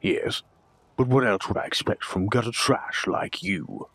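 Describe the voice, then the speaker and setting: A man acts out a haughty noble villain in a fantasy audio drama. His low, gravelly voice is rough and husky, full of sneering disdain. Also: a little slow, fairly bright tone, no echo, full character voice. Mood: scornful. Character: haughty, contemptuous rival noble duke.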